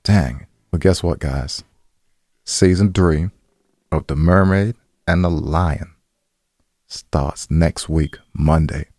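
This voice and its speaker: A man's voice: takes it slowly, long pauses, darkish tone, no echo, studio quality. An American man co-hosting a podcast in casual, playful banter, slangy and joking, repeating himself for emphasis. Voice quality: low-pitched voice. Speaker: podcast co-host.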